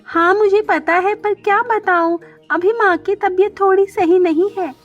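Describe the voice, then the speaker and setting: Woman voicing a high-pitched, earnest young bird maid. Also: steady tone, a little slow, lo-fi sound, faint music behind. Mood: earnest, dutiful. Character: young hardworking female bird maid, dutiful, modest. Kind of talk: Hindi children's cartoon story dialogue.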